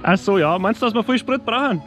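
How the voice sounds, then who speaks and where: A man's voice: sits high, expressive, quick pace, a bit bright, faint music behind. A man, joking and chatting cheerfully to a handheld action camera on a road trip. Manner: cheerful, joking, laughs often. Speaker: vlog host. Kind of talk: casual chat to camera. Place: outdoors at a petrol station and inside a van.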